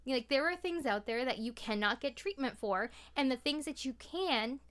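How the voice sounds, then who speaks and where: A woman's voice: high-pitched, expressive, moderate pace, a bit bright. A woman in casual podcast conversation, speaking candidly and emphatically about things. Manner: candid, emphatic, swearing freely. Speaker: podcast guest.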